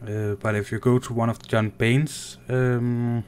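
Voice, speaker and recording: A man from Denmark with a Danish accent, casually commenting on his gameplay while reading and answering viewer chat in a soft, unhurried way. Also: mid-range voice, flat delivery, a little hiss or hum. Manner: soft-spoken and unhurried, muttering while playing. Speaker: gaming streamer.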